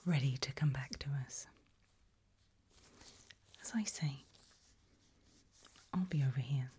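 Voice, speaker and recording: A woman speaking in a gentle, breathy, unhurried whisper, performing an ASMR roleplay as a calm, caring mortician. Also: rumbling low, slight background noise. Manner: gentle, unhurried, soothing murmur. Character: calm, caring mortician tending the soon-to-be reanimated dead.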